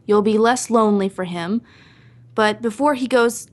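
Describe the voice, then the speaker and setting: A woman's voice: a little high, moderate pace, a little mellow, lightly acted. A woman playing a menacing older Hawaiian mother in an old-time radio melodrama, stern and terse in broken English. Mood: coolly commanding.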